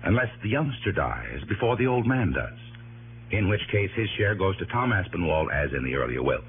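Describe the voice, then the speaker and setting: Earnest insurance executive, lowish and expressive, thin humming vintage radio sound. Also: male voice, normal speed, phone-quality sound, slight background noise. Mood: worried, confiding. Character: earnest, worried businesslike male insurance man. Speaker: radio drama supporting actor. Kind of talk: 1960s radio drama acting.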